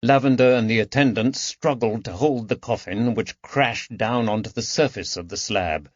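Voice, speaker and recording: A man narrates a period mystery novel in a scripted audiobook style. His pitch sits in the middle range. The recording is dry and close, with a slightly dull, lo-fi sound. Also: moderate pace, no room sound.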